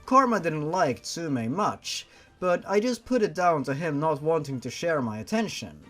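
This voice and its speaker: Young male reader, fairly high pitched and animated, mocking and swearing between lines. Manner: sarcastic, swears freely, breaks off to mock the text. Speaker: channel host reading fan fiction. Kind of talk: story reading with sarcastic commentary.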